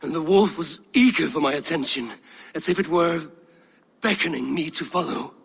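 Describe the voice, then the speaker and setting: An actor playing a troubled, fiercely loyal Viking warrior in a video game, speaking in a halting, earnest way. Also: male voice, on the high side, vocal fry, breathy edge. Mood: shaken, hazy. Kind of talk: dramatic video game acting.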